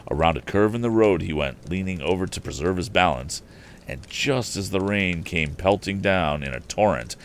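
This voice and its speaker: Male storyteller, deep register, animated narration of a vintage novel, slight stumbles, faint noise behind. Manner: expressive, with occasional stumbles and restarts. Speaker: storyteller on a live stream. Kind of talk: animated audiobook-style reading of an old adventure novel.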